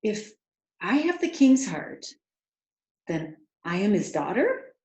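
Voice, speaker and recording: Lost young princess, woman reader: confused, hopeful, questioning, high-flying pitch, roomy storybook recording. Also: sing-song, slow pace, darkish tone. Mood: astonished, excited. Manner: breathless, tumbling questions. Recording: roomy, echoing home recording.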